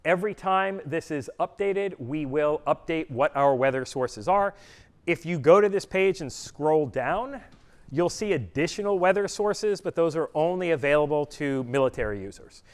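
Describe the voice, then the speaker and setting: A middle-aged man presenting at a conference session, speaking loudly and plainly to a live audience, with frequent "uh" fillers. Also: on the high side, animated, normal speed. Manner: loud, projecting voice. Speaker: presenter, customer education trainer. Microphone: room mic or none, speaking to live audience.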